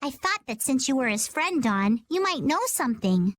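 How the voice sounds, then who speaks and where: Feisty, high-voiced little girl. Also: very animated, normal speed, dry recording. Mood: earnest, hopeful. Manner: spirited, folksy, assertive. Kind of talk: video game dub acting.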